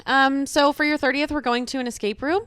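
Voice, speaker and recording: A woman in her late twenties co-hosting a podcast in casual, teasing banter with a friend, chatty and quick to swear. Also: high voice, fairly even intonation, fairly bright tone, no room sound, studio quality. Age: late 20s. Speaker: podcast co-host.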